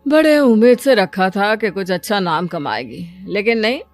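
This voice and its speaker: A woman's voice: mid-range voice, animated, moderate pace, natural voice. A woman playing a dismissive, complaining middle-aged father in a cartoon story. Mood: scornful disbelief. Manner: sarcastic, scornful, complaining. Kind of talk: acted cartoon story dialogue. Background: music.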